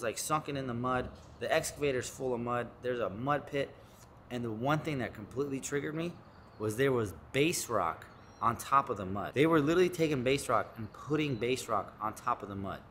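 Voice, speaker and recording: A man's voice: medium pitch, fairly bright tone, a little hiss or hum. A man in his thirties, a business owner vlogging blunt advice, emphatic and profane, repeating his key points. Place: home office with studio monitors, also outdoors and in a truck.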